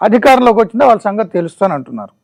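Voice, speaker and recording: Male presenter, political explainer, high pitch, brisk pace, music bed. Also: sing-song, gravelly.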